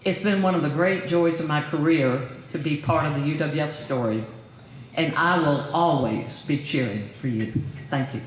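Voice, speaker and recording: A woman's voice: low voice, moderate pace, slight background noise. Older woman giving a gracious acceptance speech. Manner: warm, lightly humorous, formal. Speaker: hall of fame inductee, former professor. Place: large banquet hall. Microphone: podium microphone. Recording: roomy hall over a PA.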